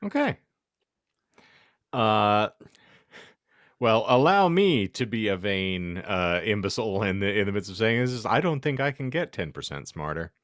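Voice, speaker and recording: A man's voice: lowish voice, lively intonation, unhurried, pauses often, fairly bright tone, no room sound, studio quality. A middle-aged man hosting a casual podcast, speaking in elaborate, wordy phrases with a self-deprecating manner. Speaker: podcast host. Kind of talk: casual panel podcast talk.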